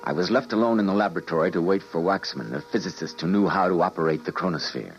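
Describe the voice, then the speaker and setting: An actor playing an earnest, principled doctor with a dramatic delivery in an old-time radio drama. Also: male voice, low-pitched, fast talker, background noise. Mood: resigned, sombre. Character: earnest, principled male doctor, troubled and indignant. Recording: muffled vintage radio drama recording.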